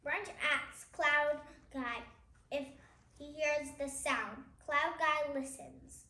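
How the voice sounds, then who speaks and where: A child reading a picture book aloud in a halting way, adding casual comments along the way. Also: sits high, pushed and bright. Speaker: child reader, host of a reading channel. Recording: echoey room.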